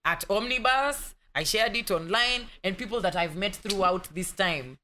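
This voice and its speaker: A woman's voice: mid-range voice, moderate pace, background noise. A young woman with a Kenyan accent, a guest in a casual interview, telling her stories with animation, dropping in Swahili words and acting out other people's voices. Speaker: actress guest.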